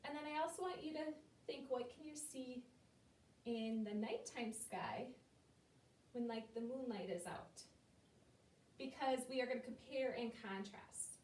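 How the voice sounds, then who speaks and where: Woman teacher voice, fairly high, patient explainer for young kids, roomy far-off mic, faint rumble behind. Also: measured pace, darkish tone. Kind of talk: classroom lesson talk to young students.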